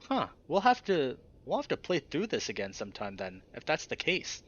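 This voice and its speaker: Guy reading a visual novel out loud w casual commentary, really animated, bit muffled. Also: medium pitch, moderate pace. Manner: expressive reading, breaks off for casual reactions. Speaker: gaming channel host.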